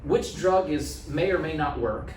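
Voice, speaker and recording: A man's voice: a little high, animated, a little slow, darkish tone, recorded from a distance. Plain-spoken American man in an echoey room. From small town in the USA. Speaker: guest health practitioner. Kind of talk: casual podcast conversation. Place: small office meeting room. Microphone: podcast mic on boom arm. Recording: roomy, off-mic sound, faint noise.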